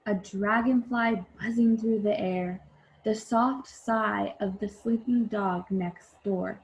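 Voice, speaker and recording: Slow-paced woman reading to children. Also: medium pitch, fairly even intonation, rough recording. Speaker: teacher reading a picture book. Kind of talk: children's read-aloud, addressing young viewers.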